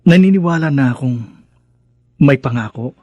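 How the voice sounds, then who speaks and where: A man's voice: medium pitch, expressive, mellow, no room sound, dull audio, lightly acted. An actor with a Filipino accent playing an earnest young man risen from poverty, speaking in a heartfelt, sentimental radio drama style. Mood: grateful, moved. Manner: slow, heartfelt, sentimental delivery.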